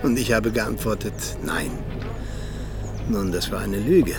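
An actor playing a cynical, disillusioned Soviet agent and former mentor in a radio drama, confessing in weighty, deliberate phrases. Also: male voice, lowish voice, animated, music in the background. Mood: grave confession. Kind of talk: German radio drama acting.

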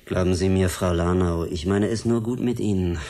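An actor in a German radio drama playing a smug, menacing state security agent with a mock-polite, needling manner. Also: male voice, low voice, normal speed, mellow. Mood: quietly menacing.

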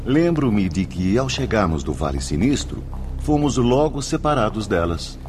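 An actor playing a calm male spirit student who talks conversationally in a radio drama. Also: on the low side, normal speed, slight background noise.

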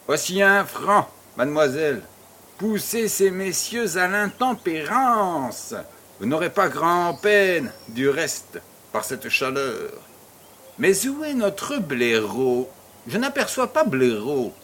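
A man plays a grand, jovial baron in an audio drama, high in pitch and swooping with lively intonation. He is pompous and ceremonious, and he takes his time over every phrase. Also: slow pace, a little hiss or hum, heavily acted. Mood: genially bossy. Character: pompous, jovial male baron hosting a charity fête. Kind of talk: French audio drama acting.

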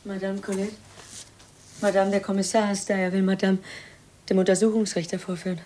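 An actress playing a formal, deferential household maid in a 1950s German radio drama. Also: female voice, medium pitch, little pitch movement, brisk, warm tone, a little hiss or hum, lightly acted. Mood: deferential, uneasy. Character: deferential female household servant announcing visitors, formal.

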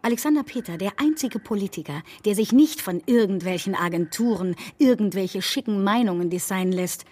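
Radio satire role: actress as a sardonic female image expert, medium pitch, pointed emphasis and dry irony. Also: animated, a little fast, hardly any pauses, a little mellow, natural voice. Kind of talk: satirical radio play dialogue.

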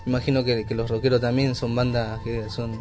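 Male interviewee, medium register, plain and halting, with guitar music clearly audible underneath. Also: mid-range voice, flat delivery, a little mellow. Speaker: band member being interviewed. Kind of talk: casual radio interview chat. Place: radio studio.

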